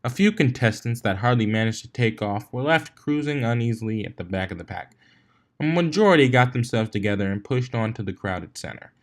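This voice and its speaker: Man narrating a story, stumbling now and then. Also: on the low side. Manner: amateur reading with stumbles and retries. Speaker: fan fiction reader.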